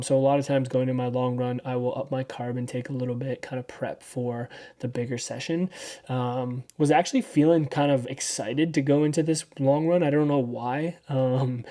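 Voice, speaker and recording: A man of thirty giving a casual weekly running recap, relaxed and rambling with lots of ums. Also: medium pitch. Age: 30s. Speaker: running vlogger.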